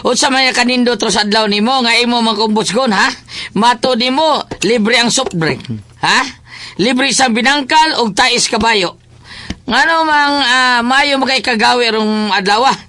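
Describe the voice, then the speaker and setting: A man's voice: very high voice, normal speed, bright, forceful tone, dry recording. A man playing a talkative, teasing, grumbling schoolboy in a comic audio drama. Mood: teasing, complaining. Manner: teasing, exclamatory, chatty.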